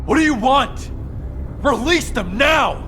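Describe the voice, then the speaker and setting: An actor playing a defiant, outraged young hero in a video game, throwing out strained, forceful demands. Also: sits high, animated, slow, slight background noise, exaggerated voice. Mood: angry, defiant. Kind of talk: dramatic video game cutscene acting.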